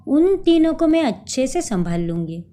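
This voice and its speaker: A woman's voice: high voice, big pitch swings, normal speed, warm tone. Woman voicing a taunting scheming woman. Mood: scornful. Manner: taunting, bossy, mixes in English words. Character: scheming young woman, cold and mocking.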